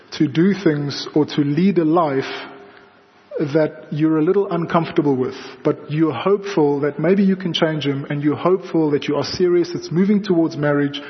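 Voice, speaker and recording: A young South African man, native Afrikaans speaker, preaching a sermon in a large church and telling personal stories in a conversational way with fillers. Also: a little high. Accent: South African English. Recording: dull sound with long hall reverb.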